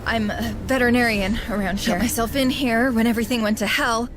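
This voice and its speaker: An actress playing a shaken but wry colony veterinarian in a sci-fi game, plainspoken and self-deprecating. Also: female voice, on the high side, steady tone, quick pace, noisy background, close to an everyday voice. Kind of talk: video game voice acting.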